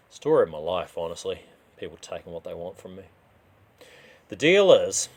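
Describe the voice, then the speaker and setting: A man narrates a crime story he wrote. His voice sits low, and he tells it in the first person as a dramatised reading.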